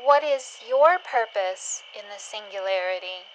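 A woman playing a curious, wistful scavenger in an audio drama, speaking in a gentle, hesitant, questioning way. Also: medium pitch, animated, unhurried, a little hiss or hum, close to an everyday voice, poor audio. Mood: playful, musing.

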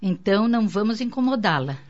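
A woman playing a concerned, devout middle-aged aunt in a radio soap, speaking gravely and pleadingly. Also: medium pitch, lively intonation, quick pace, a little mellow, close to an everyday voice. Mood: worried. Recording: dull lo-fi audio, prominent music.

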